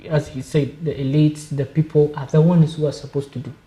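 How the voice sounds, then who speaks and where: Man from Somalia, dark echoey voice. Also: on the high side, little pitch movement. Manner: measured, repeats words when searching. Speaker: studio guest. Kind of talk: panel discussion.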